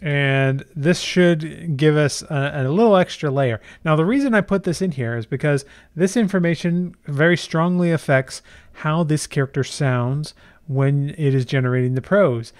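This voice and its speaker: Clear-voiced man giving a tutorial. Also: on the high side, normal speed. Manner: conversational, explanatory, thinking aloud while typing. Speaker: tutorial host. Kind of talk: casual software tutorial with screen share.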